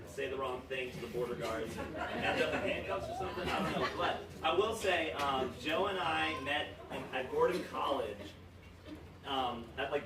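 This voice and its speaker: A young man from Romania giving a joking, rambling best-man toast full of fillers, at a wedding reception. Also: medium pitch. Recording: echoey room, far from mic, noisy.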